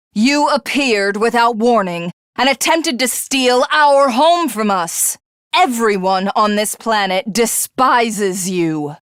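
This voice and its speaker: A haughty alien warrior woman from a video game, who hungers for godhood. She speaks slowly and grandly in a theatrical villain's manner, recorded very dry and close. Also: on the high side, a bit bright, smooth, dry recording. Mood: cold, scornful. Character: arrogant, power-hungry alien warrior woman craving godhood. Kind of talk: video game character voice acting.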